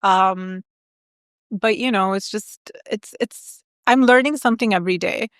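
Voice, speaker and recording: A young woman guesting on a podcast, telling candid stories in a lively, chatty way, full of "like" and "um". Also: medium pitch, unhurried, dry recording, studio quality. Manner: candid, animated storyteller, lots of "like" and "um". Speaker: guest, agency founder. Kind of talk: casual interview chat.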